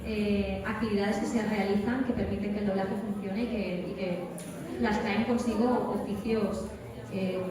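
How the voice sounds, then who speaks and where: A woman's voice: medium pitch, steady tone, measured pace. A woman with an accent from Spain speaking on a live panel, emphatic and repeating words for stress as she tells anecdotes and gives advice. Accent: Spanish from Spain. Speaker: voice actress, guest speaker. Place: large echoey event hall at a convention. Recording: echoey hall, distant mic, crowd noise. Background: crowd chatter.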